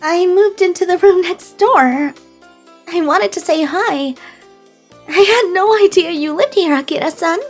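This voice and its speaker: A woman's voice: very high voice, a little slow, faint music behind. An actress playing a sweet, timid young woman on the run from a stalker in an anime-like manga drama. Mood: pleasantly surprised. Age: young adult.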